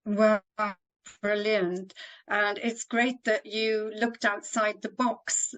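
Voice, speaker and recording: A woman's voice: mid-range voice, level tone, takes it slowly, rough recording. A woman from Wales hosting an interview in an effusive, appreciative manner. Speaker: interview host. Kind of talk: warm scripted welcome and interview questions.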